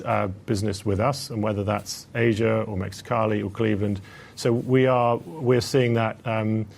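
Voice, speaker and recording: A man speaking as a company executive, answering analysts on an earnings call in a hesitant, halting, measured way. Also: fairly low pitch, vocal fry, a little mellow.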